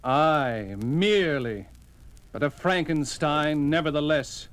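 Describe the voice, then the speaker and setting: An actor playing an earnest, proud young baron on the run, formal and melodramatic, in a 1970s horror audio drama. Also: male voice, on the high side, slow pace, clean tone. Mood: grave. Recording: dramatic music behind the voice. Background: music.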